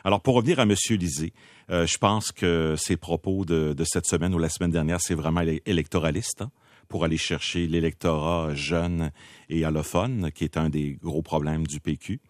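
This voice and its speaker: A Québécois man lays out his case on a political panel in a low, dark voice. He is measured, often pausing on small hesitations. The sound is close and dry. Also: fairly even intonation, dry recording. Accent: Québécois French. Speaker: political commentator. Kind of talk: panel debate.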